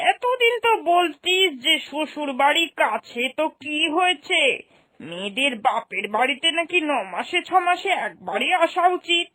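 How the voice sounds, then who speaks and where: Woman acting high-voiced scolding old mother. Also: full character voice. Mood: sarcastic. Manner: sharp-tongued, reproachful, scolding with rhetorical questions. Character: exasperated scolding older mother and mother-in-law. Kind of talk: cartoon moral-story voice acting.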